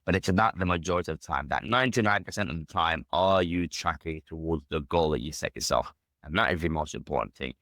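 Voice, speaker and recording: A British man in his thirties with a low voice speaks at a brisk clip. He is a podcast guest telling personal stories casually and emphatically, peppering them with conversational tags. The recording is close and dry. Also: fairly bright tone, no echo. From United Kingdom.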